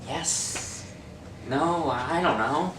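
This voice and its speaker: A woman's voice: sits low, very slow, a bit bright, distant mic, full character voice. A frayed, stammering actor , voiced by a woman, whose nervous laughter keeps breaking through. The voice sits back from the mic in a roomy, reverberant space. A faint hiss runs underneath. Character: anxious male actor unraveling into hysteria.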